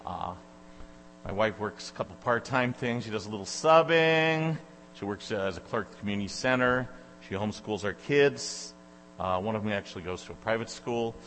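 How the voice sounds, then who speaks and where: Brief: fortyish Michigan man, guest preacher, loud and rambling, a bit low in pitch, caught on a church mic with a long echo. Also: lively intonation, moderate pace. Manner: loud, chatty, rambling with many fillers. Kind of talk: informal sermon introduction. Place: church sanctuary. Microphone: handheld or stand microphone. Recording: echoey church hall with mains hum.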